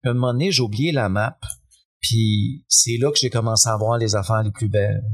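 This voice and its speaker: A man's voice: lowish voice, brisk. Laid-back Québécois man, rambling. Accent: Québécois French. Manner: relaxed, rambling, many fillers. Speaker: guest. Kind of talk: casual conversation.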